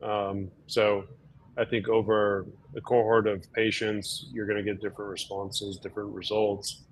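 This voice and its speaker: Male doctor, low and a little hoarse, easygoing explainer, faint hum. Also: level tone, normal speed, low-quality recording. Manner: easygoing, explanatory, many fillers. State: slightly hoarse. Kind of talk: casual webinar chat.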